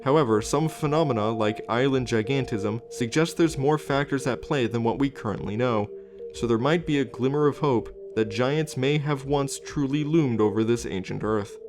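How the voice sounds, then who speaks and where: A man's voice: medium pitch, moderate pace. A man hosting and narrating a scripted informational documentary. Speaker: host and narrator. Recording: music under the voice. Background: background music.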